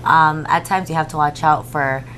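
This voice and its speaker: A nineteen-year-old woman answers interview questions softly and hesitantly. Her voice is low for her age. A faint room hum sits behind her. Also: fairly even intonation, moderate pace. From California. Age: teens. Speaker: pageant titleholder guest.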